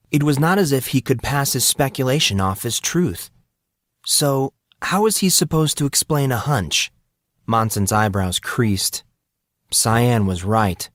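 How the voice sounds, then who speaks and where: A man's voice: mid-range voice, no echo, studio quality. A man reading scripted fiction narration as an audiobook narrator.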